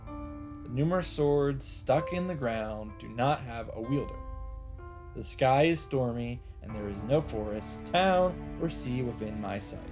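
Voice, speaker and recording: A man reading a visual novel script aloud in an expressive narrating style. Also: medium pitch, a little slow. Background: game music.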